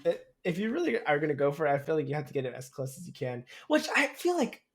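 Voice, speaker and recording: A man's voice: on the high side, wildly swooping pitch, quick pace, creaky. A young man chatting informally as a podcast co-host. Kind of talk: casual chat about games and movies.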